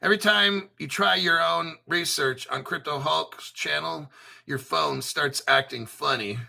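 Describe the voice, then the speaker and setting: A man's voice: fairly high pitch, measured pace, gravelly, sharp tone. A man hosting a casual crypto show, talking bluntly and profanely, rambling with restarts. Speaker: crypto channel host. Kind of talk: casual livestream chat.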